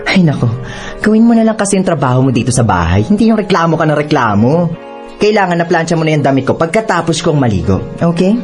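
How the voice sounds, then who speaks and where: Spoiled, sassy young bossy girl, wildly animated. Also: sits low, fast, faint music behind. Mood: haughty, threatening. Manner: sassy, slangy Taglish with haughty commands. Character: spoiled, mocking young woman bossing her housemaid cousin. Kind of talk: radio drama acting.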